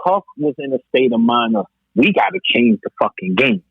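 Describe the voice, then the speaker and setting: A husky male voice comes through a dry, phone-like connection. His pitch swings animatedly as he debates hip-hop casually and emphatically, repeating phrases to press his point. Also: very animated, a little fast, no echo. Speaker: podcast host. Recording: narrow tinny phone-like line.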